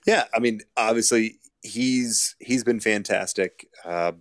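Laid back guy guesting on a podcast, lowish voice, lots of uh and um, dry close sound. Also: a little slow, dry recording. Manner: relaxed, rambling, many fillers. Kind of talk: casual chat.

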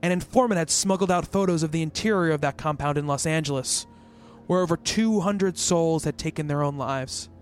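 A man's voice: fairly high pitch, brisk, lightly acted. A man playing a worried, rational researcher in an eerie radio play, speaking as a thoughtful narrator and character over music. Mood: pensive, measured. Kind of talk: radio play dialogue and first-person narration.

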